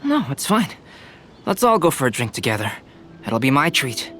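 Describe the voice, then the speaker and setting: A man playing a composed, courteous young artist-scholar in a video game, speaking in measured, formal and precise phrases. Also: medium pitch, big pitch swings, heavy background noise, natural voice. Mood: calm, assured. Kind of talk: video game character acting.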